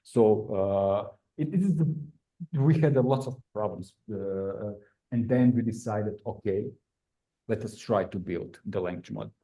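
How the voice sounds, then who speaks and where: A man's voice: on the low side, unhurried, warm tone. A middle-aged man from Croatia, giving an academic seminar talk with many "uh" fillers. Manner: non-native phrasing, frequent "uh" fillers. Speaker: invited speaker, researcher. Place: lecture room.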